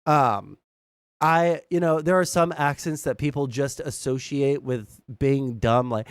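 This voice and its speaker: A man's voice: medium pitch, unhurried, clear, resonant tone, no room sound. A young American man giving casual, rambling, blunt political commentary as a livestream host, swearing freely. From southern United States.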